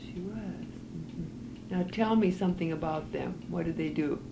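A woman's voice: lowish voice, measured pace, gravelly. A woman conducting an oral history interview in an apartment, patiently prompting and repeating her questions. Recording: hissy 1980s cassette tape.

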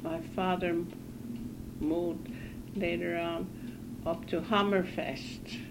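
A woman in her nineties from northern Norway, recalling her life haltingly in an oral history interview in her apartment. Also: fairly low pitch, steady tone, gravelly. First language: Norwegian. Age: 90s. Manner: halting, hesitant, self-correcting. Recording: hissy 1980s cassette tape.

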